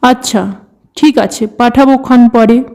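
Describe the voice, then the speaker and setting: A woman's voice: on the high side, fairly even intonation, measured pace, a little mellow. A woman voicing a caring, self-sacrificing farmer's wife and mother in a cartoon story. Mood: obliging.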